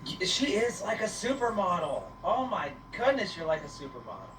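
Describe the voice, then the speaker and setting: A woman's voice: lowish voice, big pitch swings, normal speed, fairly bright tone. A woman hosting a casual livestream on a goat farm, playfully teasing and chatting to viewers and animals. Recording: echoey, noisy farm livestream, distant mic.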